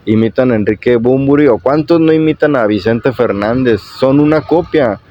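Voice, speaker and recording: A man with a husky voice hosting an informal guitar tutorial vlog, explaining things repetitively and often checking in with his viewers. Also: medium pitch, moderate pace. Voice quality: hoarse, husky. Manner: repetitive, with frequent check-in questions. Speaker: YouTube guitar tutorial host. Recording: dull lo-fi sound.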